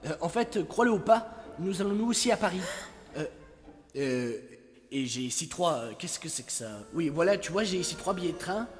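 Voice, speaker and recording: A man voicing a smooth-talking young male schemer in an animated film fandub, often hesitating as he improvises his pitch. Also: normal speed. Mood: persuasive. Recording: long hall reverb under loud film music.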